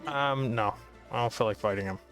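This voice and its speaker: Casting: male gamer, mid-pitched, casual and sardonic running commentary, light music behind. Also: fairly quick, fairly bright tone.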